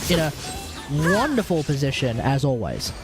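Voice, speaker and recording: A man's voice: a little high, huge pitch swings, normal speed. A man doing casual livestream game commentary, rambling and thinking aloud over game music. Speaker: game streamer. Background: video game music.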